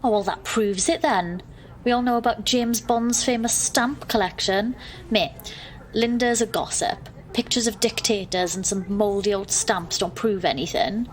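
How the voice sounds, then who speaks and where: Actress as exasperated young woman, audio drama, dry sarcastic delivery, mid-pitched, ambient noise behind. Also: steady tone, a little slow, close to an everyday voice, rough recording. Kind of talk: audio drama dialogue and first-person narration.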